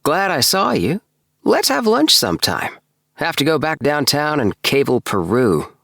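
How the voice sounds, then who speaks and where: A man voicing an eager, excitable house-obsessed gentleman with a breathless, effusive tenor in a storybook reading. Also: on the high side, measured pace, creaky, no echo, clean studio sound, voice pushed up, full character voice. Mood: hurried, breezy. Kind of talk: storybook character dialogue.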